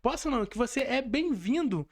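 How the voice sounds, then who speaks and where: A man's voice: very high-pitched, expressive, moderate pace. A Brazilian man speaking as a podcast host, casual, colloquial and effusive.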